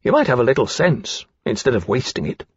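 A man's voice: medium pitch, very animated, fairly quick, breathy edge, dry recording, dull audio. A man voicing a brash, greedy, practical dragon who complains bluntly in a fantasy audiobook. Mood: grumbling.

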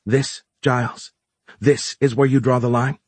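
A man's voice: mid-range voice, level tone, a little slow, husky, no echo, pitched above the performer's own voice. A brash woman crook, read by a male narrator, sarcastic and teasing. She needles in streetwise slang, recorded close and dry for an audiobook.

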